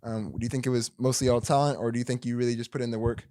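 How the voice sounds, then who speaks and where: A man's voice: medium pitch, fairly even intonation, rapid-fire, dark tone, no echo, studio quality. A man hosting a podcast, asking casual interview questions.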